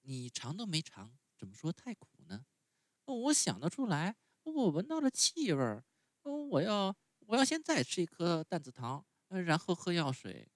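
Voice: mid-range voice, huge pitch swings, measured pace, dry recording, pitched above the performer's own voice. A storyteller voicing a stubborn, whining wooden puppet boy who is sick in bed. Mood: whiny. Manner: whining, pleading, wailing.

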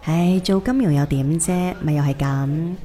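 A woman's voice: deep voice, unhurried, dark tone. A woman playing a modest, weary finance professional in a Cantonese radio drama about old classmates. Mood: modest, resigned. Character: successful female finance worker, modest, weary former class monitor.

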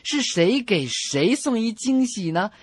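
A woman's voice: on the high side, big pitch swings, a little slow, slightly rough, dry recording. A woman co-hosting a comedy radio show, telling jokes and reading out song dedications to listeners.

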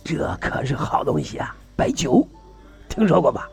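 A man playing a chatty, courteous trader in an audio drama, wheedling in colloquial, drawn-out sales patter with a slightly husky voice. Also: medium pitch, wildly swooping pitch, a little mellow, faint music behind, full character voice. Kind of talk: Chinese audio drama dialogue.

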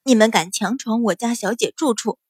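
A woman's voice: fairly high pitch, normal speed, a little mellow, breathy edge, dry recording, clean studio sound. A woman voicing a loyal young maidservant in an audiobook, frightened yet defiant and indignant. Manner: indignant, trembling with anger.

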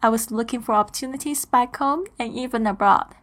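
A woman in her thirties from Taiwan, hosting a podcast and reading a prepared introduction in a steady, earnest, upbeat way. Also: on the high side, brisk. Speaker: podcast host.

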